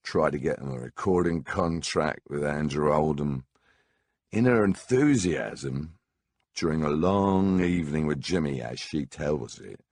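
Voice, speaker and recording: A man's voice: sits low, breathy edge, dry recording, studio quality. A man narrating a first-person memoir audiobook in a wry, conversational storytelling manner.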